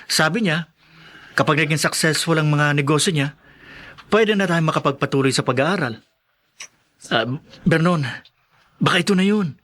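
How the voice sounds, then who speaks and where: An actor plays a hopeful young working man with a fairly high voice. He is patient and reassuring, talking persuasively as in a radio drama. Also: normal speed, long pauses, a bit bright, natural voice. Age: young adult. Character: patient, hopeful young restaurant worker and friend. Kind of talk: Filipino radio drama acting.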